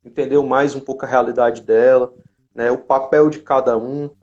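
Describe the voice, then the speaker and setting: A man's voice: mid-range voice, steady tone, normal speed, a little mellow. A man with a Brazilian accent hosting a casual live chat, commenting and reading out questions. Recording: dull lo-fi livestream audio.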